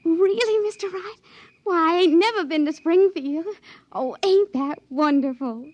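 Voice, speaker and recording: A woman's voice: very high-pitched, measured pace, noisy background. An actress playing a naive, moody young backwoods girl in a radio drama, with a rural American hill-country accent and a hesitant, folksy way of talking. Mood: delighted. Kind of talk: 1950s radio drama acting. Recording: dry, dull vintage radio recording.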